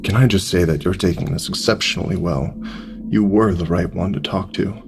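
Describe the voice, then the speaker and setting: An actor playing a sinister, manipulative talking pig in an audio drama, coaxing and commanding in a deliberate, gravelly voice. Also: male voice, low-pitched, fairly even intonation. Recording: music under the voice.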